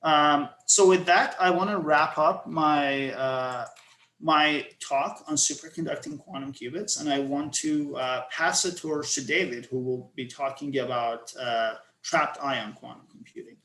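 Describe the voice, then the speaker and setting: A man's voice: a little high, unhurried, a bit bright. A man giving an online lecture on quantum hardware, hesitant with many fillers. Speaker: guest lecturer. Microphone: video call. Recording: roomy echo.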